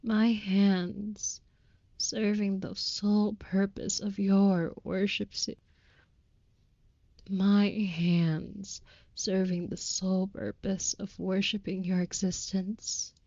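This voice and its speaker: A woman plays a tender female vampire lover, utterly devoted and ornately romantic. The voice is mellow and breathy, slipping into whispers, and it moves slowly and reverently through formal, old-fashioned phrases. The sound is close, dry and slightly dull, as in an intimate audio roleplay. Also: mid-range voice, flat delivery, no room sound. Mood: reverent, adoring. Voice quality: soft, breathy with whispered moments. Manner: florid, reverent, archaic formal wording.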